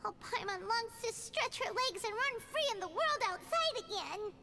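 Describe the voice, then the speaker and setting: A woman voicing a tiny, childish, excitable fairy companion in a video game, whiny and referring to herself in the third person. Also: extremely high pitch, normal speed, heavily acted. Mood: bored, whiny. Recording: music loud behind the voice.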